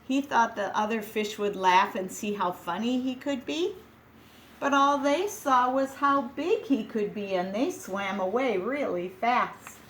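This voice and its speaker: A woman reads a picture book aloud to children, unhurried and expressive, lingering on words for effect. Her voice sits a little high, and a faint hiss runs under the recording. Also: poor audio. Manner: gentle, expressive, slow with dramatic pauses.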